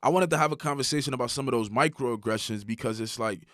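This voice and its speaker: A man's voice: mid-range voice, fast, no room sound, studio quality. Slangy man leading a podcast chat. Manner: animated storyteller, slangy, checks in with "right?". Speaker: podcast co-host. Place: hot room in a building.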